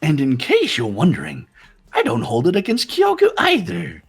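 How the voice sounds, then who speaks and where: A man's voice: a little high, wildly swooping pitch, moderate pace, vocal fry, husky, faint music behind, pitched above the performer's own voice, heavily acted. A man voicing a gleefully menacing, mocking robot bear headmaster in a fan-dubbed game, theatrical and teasing in sing-song swoops. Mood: smug, teasing. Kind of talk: fan voice acting of a visual novel game.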